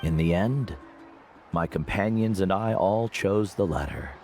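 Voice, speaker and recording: An actor playing a veteran guide and teacher who speaks in a measured, reflective way in game dialogue. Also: male voice, low voice, a little mellow. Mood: steady. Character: older male guide mentor, calm and wise. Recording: game audio with faint music.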